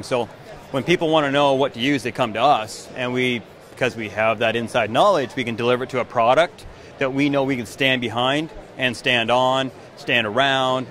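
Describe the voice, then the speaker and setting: A man in his forties, a canoe maker, giving an upbeat pitch in an interview at an indoor trade show booth, speaking into a handheld mic. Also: a bit bright. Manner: enthusiastic sales pitch. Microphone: handheld mic held by interviewer.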